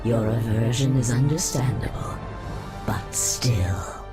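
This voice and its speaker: A woman's voice: a bass voice, slow pace. A woman playing a cunning, seductive serpent sorceress in a fantasy game, speaking in a formal, coaxing manner. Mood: tempting. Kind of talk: fantasy game cutscene dialogue. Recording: dramatic music behind.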